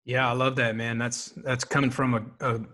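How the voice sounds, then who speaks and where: Relaxed male podcast host. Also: medium pitch, brisk. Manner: relaxed, affirming listener. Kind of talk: casual interview talk.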